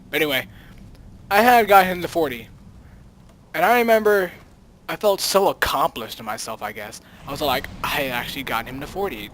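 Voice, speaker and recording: Higher-voiced man telling gaming stories, noisy recording. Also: a bit bright. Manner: dramatic self-quoting, repeats phrases for emphasis. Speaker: host of a gaming storytime series. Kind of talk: casual rambling storytelling over gameplay.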